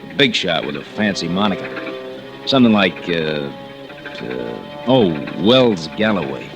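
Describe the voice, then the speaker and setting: A man's voice: sits low, big pitch swings, measured pace, creaky, loud music behind the voice, exaggerated voice. An actor playing a folksy, chatty working man in a vintage radio drama, drawling out small talk. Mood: folksy, gossipy. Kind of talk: 1940s radio drama acting.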